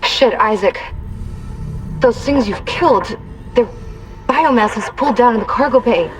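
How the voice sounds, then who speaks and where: A woman's voice: fairly high pitch, lively intonation, unhurried, faint music behind. An actress playing a tense, wary female computer specialist in a sci-fi video game. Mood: urgent, wary. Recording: lo-fi radio transmission.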